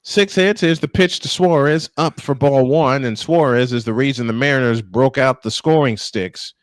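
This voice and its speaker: Guy doing baseball play by play w/ casual banter, normal pitch, very dry sound. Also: moderate pace, no room sound. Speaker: play-by-play commentator and host.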